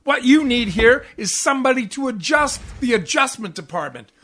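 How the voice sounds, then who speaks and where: A man acts out a grumpy, combative husband from a 1940s radio comedy. The voice is high and sharp-edged. He delivers sarcastic wisecracks with open impatience. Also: lively intonation, normal speed, heavily acted. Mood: indignant.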